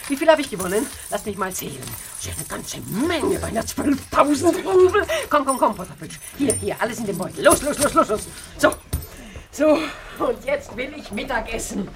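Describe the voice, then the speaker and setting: Imperious old aunt, wildly animated. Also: female voice, on the high side, moderate pace, noisy background. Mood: gleeful, excited. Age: older. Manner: commanding, repeats words in excited bursts. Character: imperious, capricious rich old Russian aunt, gambling-happy. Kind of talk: radio drama acting.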